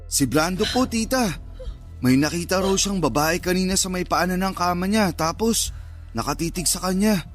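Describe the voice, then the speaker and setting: An actor playing a nervous, well-meaning young cousin in a radio drama, colloquial and concerned. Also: male voice, sits high, normal speed, soft background music, natural voice. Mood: placating.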